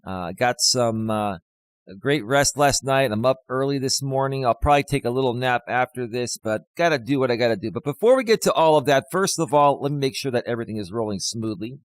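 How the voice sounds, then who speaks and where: A man hosting his own live stream as a life coach, talking casually to viewers with a slightly hoarse voice and lots of fillers. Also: medium pitch, a little fast, no echo. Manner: rambling, many fillers, talks straight to viewers.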